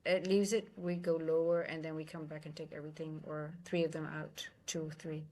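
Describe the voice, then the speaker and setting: A woman with a Dutch accent, a native Dutch speaker, hosting a live trading stream, thinking aloud in halting, casual commentary. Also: low voice, level tone, a little mellow. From Netherlands.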